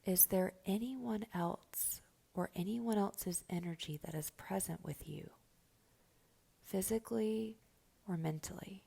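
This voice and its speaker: A woman guiding a meditation in a soft, breathy voice that is often close to a whisper, giving gentle, slow instructions with long pauses. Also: medium pitch. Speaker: guided meditation host. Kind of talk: slow guided meditation narration.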